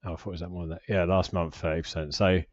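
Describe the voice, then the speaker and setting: A British man in his forties with a low voice talks casually through a screen share. He rambles and hesitates, often putting himself down. The recording is slightly dull but quite dry. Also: flat delivery, fast talker, no echo. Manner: rambling, hesitant, self-deprecating. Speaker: personal finance vlogger.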